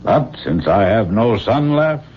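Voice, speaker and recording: A man's voice: lowish voice, very animated, unhurried, slightly rough, exaggerated voice. An actor playing a doting but domineering ageing father in a radio drama, fond and insistent in manner. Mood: fond, earnest. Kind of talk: old-time radio drama acting. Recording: dull, faintly noisy old radio recording.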